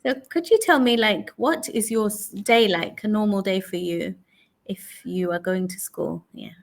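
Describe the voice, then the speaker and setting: A woman's voice: medium pitch, measured pace, gravelly. A woman, patiently chatting with a young learner in an online conversation lesson and repeating the answers back. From England. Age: 40s. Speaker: online English tutor.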